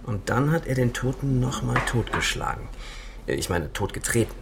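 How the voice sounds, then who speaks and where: Gravelly, methodical detective. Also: male voice, mid-range voice, lively intonation, normal speed, noisy background. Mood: sober. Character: matter-of-fact male detective reporting evidence to his chief. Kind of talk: radio crime drama acting.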